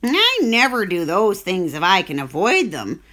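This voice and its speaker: A woman's voice: high-pitched, wildly swooping pitch, measured pace, pushed and bright, pitched above the performer's own voice, exaggerated voice. A woman voicing a crafty greedy male rat who talks sourly and sneeringly, in a storybook reading. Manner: sour and sneering.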